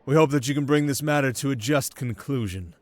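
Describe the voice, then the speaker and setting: A naive, self-important young prince, put on by a man in an audio roleplay. The voice is lifted noticeably high, with formal, pompous, regal declarations. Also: brisk, no echo, lightly acted. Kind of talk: ASMR audio roleplay acting.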